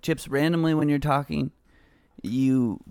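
A man's voice: a little high, fairly even intonation, unhurried, creaky, darkish tone, smooth, no room sound. A man chatting casually and jokily as he hosts, posing silly hypothetical questions. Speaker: host posing would-you-rather questions. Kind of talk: casual joking chat.

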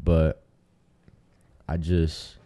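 Deep-voiced young American man, slangy and mellow. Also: monotone, very slow. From Tampa, Florida, United States. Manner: slangy, informal street talk. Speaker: podcast guest, rapper. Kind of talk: casual chat, storytelling.

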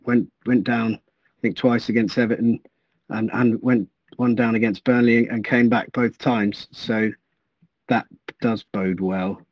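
A man's voice: medium pitch, steady tone, measured pace, no echo, highs cut off. A man speaking as a podcast guest, hesitant and thoughtful, often stammering over his words. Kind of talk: casual podcast chat.

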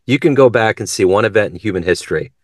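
A man's voice: lowish voice, lively intonation, fast talker, no room sound, clean studio sound. A man hosting an interview show in a casual, conversational way.

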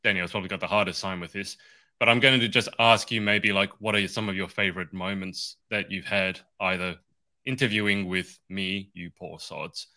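A man's voice: deep voice, steady tone, fairly quick, sharp tone. A man hosting a podcast in a casual, teasing chat with guests over a video call. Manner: teasing, jokey. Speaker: podcast host.

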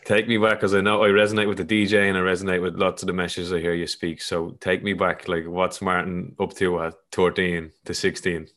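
Irish male host, very low and monotone, loose and reflective, trails off and restarts. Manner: halting, loose phrasing with many fillers. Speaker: podcast host. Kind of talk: casual reflective conversation.

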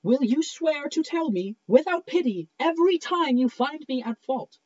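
Casting: coy young romantic heroine read by a woman, high, lively, insistent, stage comedy. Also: moderate pace, dull audio. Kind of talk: cold reading of a comedic stage play.

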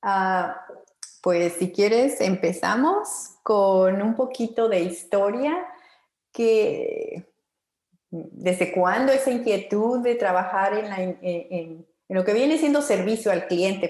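A woman's voice: mid-range voice, a little mellow. A woman hosting a casual interview, speaking in a polite and welcoming way. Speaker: podcast host, interviewer. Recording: roomy echo.

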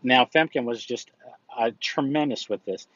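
A man's voice: medium pitch, moderate pace, a bit bright, no room sound, dull audio. A man hosting a podcast, telling a conference story in a casual monologue full of fillers and restarts. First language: English. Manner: rambling, frequent fillers and restarts. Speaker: podcast host.

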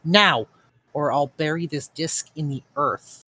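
A woman voicing an impatient, sharp-tongued boy magician who speaks curtly. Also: deep voice, measured pace, slightly rough, exaggerated voice, poor audio. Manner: curt, snappish.

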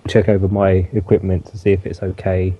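A man with a croaky voice, playing a character in a tabletop game and asking his questions hesitantly. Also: sits low, level tone, fairly quick, highs cut off. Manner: hesitant, repeats words. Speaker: player.

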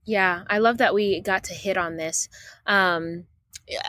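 A woman's voice: medium pitch, fairly even intonation, a little slow, pushed and bright, dry recording, clean studio sound. A woman from Uganda, raised in the States, talking reflectively in a casual conversation with playful asides.

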